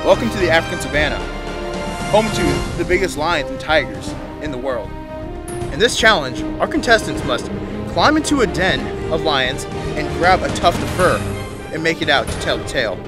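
A man's voice: a little high, very animated, moderate pace. A man narrating a race show recap in a dramatic, announcer-like manner. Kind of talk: scripted narration of a video recap. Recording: voice-over with music behind.

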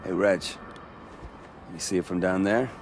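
A man's voice: low-pitched, fairly even intonation, unhurried, background noise. An actor with an American accent playing a cocky, rebellious young man with superpowers, flippant and brash, in a video game. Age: young adult. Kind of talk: video game cutscene acting.

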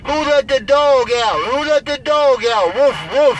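A man with a strikingly high voice works the CB radio from his vehicle. He repeats call signs and catchphrases like a showy chant. He sounds away from the microphone, with clear vehicle noise around him. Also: a little slow. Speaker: CB radio operator. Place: inside a moving vehicle. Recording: noisy in-car recording, distant voice.

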